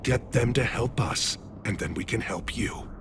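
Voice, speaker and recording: An actor playing a proud, grave alien military leader in a video game, speaking formally. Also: male voice, mid-range voice, measured pace, noisy background, natural voice. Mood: grave insistence.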